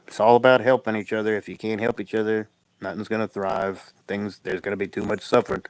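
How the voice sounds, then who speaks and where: A man's voice: lowish voice, level tone, quick pace, no room sound, rough recording. A man co-hosting a casual livestream chat, talking bluntly and emphatically and laughing between his points.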